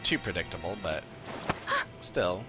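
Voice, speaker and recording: Low-voiced male game streamer over music. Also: measured pace. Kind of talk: casual livestream commentary.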